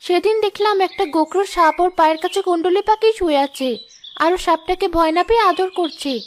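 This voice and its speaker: A woman voicing a fretful village wife and anxious mother in an expressive cartoon drama. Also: extremely high pitch, brisk, a little hiss or hum. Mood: worried.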